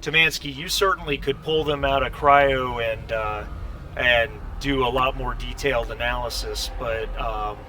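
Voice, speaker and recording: A man narrating a tabletop game as game master in a halting, conversational way, often correcting himself as he improvises. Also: mid-range voice, bright, forceful tone, a little hiss or hum.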